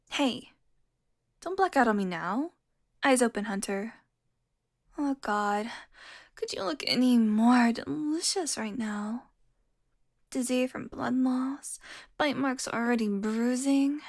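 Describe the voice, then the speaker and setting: Casting: woman as a seductive female vampire for intimate audio roleplay; higher-set voice, unhurried, breathy, smug and teasing. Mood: sultry teasing. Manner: breathy whispers, slow sultry teasing. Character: teasing, seductive female vampire, smugly tender toward prey. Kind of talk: intimate audio roleplay, addressed to one listener.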